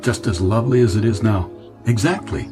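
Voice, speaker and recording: An actor playing a wistful, earnest man haunted by his childhood in a radio play, halting when agitated. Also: on the low side, music in the background, natural voice. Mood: wistful, nostalgic. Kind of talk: dramatic radio play acting.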